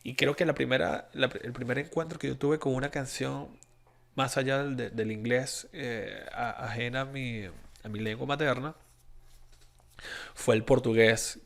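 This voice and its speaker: Gravelly Venezuelan man hosting a podcast. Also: mid-range voice. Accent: Venezuelan Spanish. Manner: rambling, many restarts and fillers. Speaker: podcast host. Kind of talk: casual solo podcast monologue.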